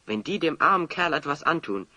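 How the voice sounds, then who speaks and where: An actor playing a cheeky, plucky little dwarf hero in a children's audio drama, with a teasing manner. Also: male voice, on the high side, a little fast, noisy background, natural voice. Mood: stern. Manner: teasing, animated.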